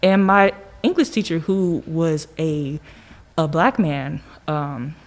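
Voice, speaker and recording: Young American woman, low register, colloquial, animated, conversational. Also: slow pace. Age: young adult. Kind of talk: casual conversation, personal reflection.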